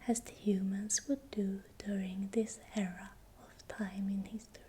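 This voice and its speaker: A woman narrating in ASMR style in a breathy, unvoiced whisper, speaking softly and deliberately. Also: mid-range voice, little pitch movement, a little hiss or hum. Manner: slow, deliberate, repeats words softly. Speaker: ASMR creator narrating folklore.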